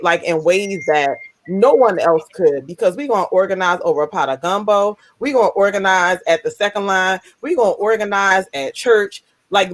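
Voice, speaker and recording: Blunt deep-voiced woman. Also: no echo. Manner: candid, blunt, salty humor. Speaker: panelist. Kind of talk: casual discussion. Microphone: video call.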